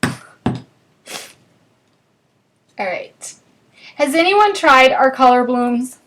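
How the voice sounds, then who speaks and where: Woman, northern US accent, bubbly live craft-class host, slightly high voice. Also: animated, drawn-out words, a bit bright. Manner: chatty and bubbly, chatting with viewers while working.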